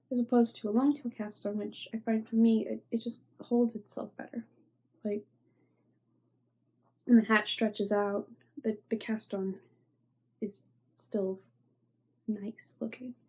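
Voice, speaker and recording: Young woman, knitting podcast host, stuffy with a cold; husky, mellow, rambling, fades to near-whispers. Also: fairly high pitch, little pitch movement, measured pace, long pauses, rough recording. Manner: rambling, filler-heavy, trailing off into soft near-whispers. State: stuffy, congested. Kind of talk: casual show-and-tell chat.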